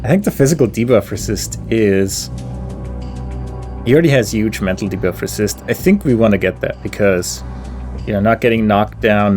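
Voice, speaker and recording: A man commentating his gameplay, thinking aloud as he weighs options, with pauses and fillers. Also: lowish voice, a little mellow. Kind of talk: thinking aloud while playing.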